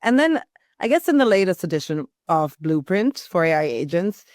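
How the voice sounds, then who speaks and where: A woman's voice: on the low side, very animated, normal speed, darkish tone, no room sound, clean studio sound. A woman hosting an unscripted panel chat, conversational and inquisitive as she addresses guests and viewers. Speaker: host, panel moderator. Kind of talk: unscripted moderating of a fireside chat.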